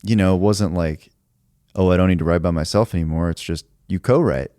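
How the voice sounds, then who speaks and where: A man who writes songs, talking in a relaxed podcast conversation, thinking aloud and reflecting at length with many filler words. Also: deep voice, brisk, no echo, clean studio sound. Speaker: songwriter.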